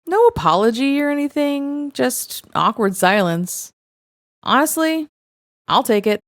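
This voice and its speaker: A woman reading first-person confession stories aloud in an expressive, conversational storytelling manner. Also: sits high, unhurried. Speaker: story reader, host of a show reading online confessions.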